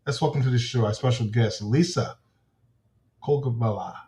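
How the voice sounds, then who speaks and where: Male show host, mid-pitched, eager and rambling. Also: moderate pace, mellow. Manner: eager and chatty, rambling a little. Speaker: podcast host. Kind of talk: interview show hosting.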